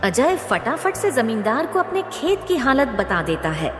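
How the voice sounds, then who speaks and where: A woman's voice: on the high side, sing-song, moderate pace, soft background music. A woman narrating a scripted cartoon moral story as its storyteller. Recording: echoey, long reverb.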